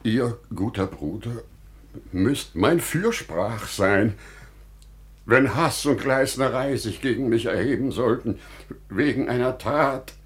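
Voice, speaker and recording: A man's voice: mid-range voice, lively intonation, slow. An actor playing a grave, devout bereaved father and merchant in a solemn, declamatory German radio drama style, swelling with emotion. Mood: grave, confiding.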